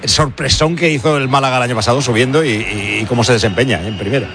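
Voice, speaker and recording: A man's voice: medium pitch, quick pace, creaky, background noise. A middle-aged man hosting a sports radio show, joking and teasing his guests and crew.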